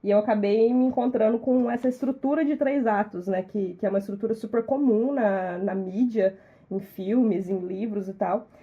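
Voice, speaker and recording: A woman's voice: medium pitch, moderate pace, husky, phone-quality sound. A woman from Minas Gerais with a Brazilian accent, hosting a podcast and explaining at length, repeating small words as she thinks. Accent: Brazilian Portuguese. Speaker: podcast host. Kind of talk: casual podcast chat.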